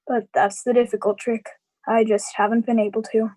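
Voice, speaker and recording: A woman's voice: fairly high pitch, flat delivery, warm tone, natural voice. A woman playing a lonely, sad uncle in a live radio drama, hesitant and evasive. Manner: hesitant, halting.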